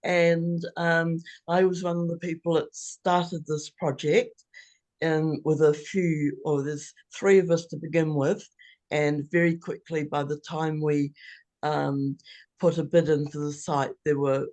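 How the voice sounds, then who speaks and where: An older woman, around her seventies, with a New Zealand accent, gives a measured, reflective personal presentation over a video call. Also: deep voice, little pitch movement, darkish tone, no echo. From New Zealand, born in England. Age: older, about 70s. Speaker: co-housing founder presenting.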